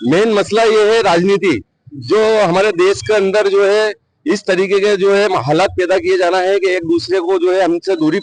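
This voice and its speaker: High voiced guy in a news interview, insistent, arguing his opinion. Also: low-quality recording. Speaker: member of the public interviewed. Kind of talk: opinionated street interview answers.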